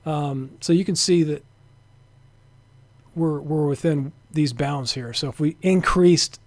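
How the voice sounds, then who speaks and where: A man narrating an informal software demo as a developer, thinking aloud hesitantly and sometimes trailing off into a mutter. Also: on the high side, slow, no room sound. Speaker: software developer demonstrating a networking tool.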